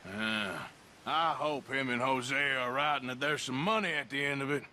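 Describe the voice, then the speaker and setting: An actor playing a wry, gruff American outlaw gunslinger in his thirties in a video game, speaking with a drawl. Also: medium pitch, moderate pace. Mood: low-key. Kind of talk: video game cutscene acting. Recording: loud music and horse sounds behind. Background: music, horses, hoofbeats.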